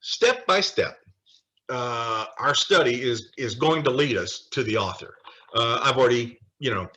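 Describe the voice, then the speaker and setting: Man, Bible study leader, bright forceful tone, animated intonation, emphatic delivery, informal lecture from notes, wry asides. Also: mid-range voice, a little slow. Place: online video call study session.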